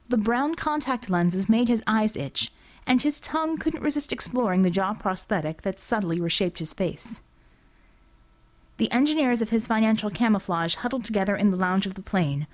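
A woman's voice: medium pitch, a little mellow. A woman narrates a work of fiction in a mid-range voice. She reads scripted prose as an audiobook narrator, telling the story in the third person.

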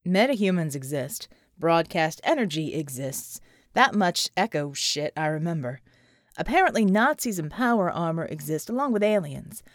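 Audiobook role: skeptical military man performed by a woman, lowered voice, blunt, folksy politeness, dry disbelief. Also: very animated, normal speed, no room sound. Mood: unimpressed. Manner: clipped, folksy, incredulous, says ma'am. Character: wary, skeptical American ex-soldier man, polite but blunt.

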